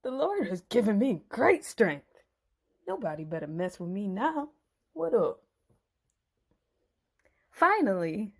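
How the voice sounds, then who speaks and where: A woman's voice: mid-range voice, very animated, slow, gravelly, pauses often, exaggerated voice. A woman playing a brash, boastful young strongman in a Bible story dramatization, with swaggering, slangy delivery. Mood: triumphant, boastful. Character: brash, cocky young strongman Samson, boastful and hot-headed.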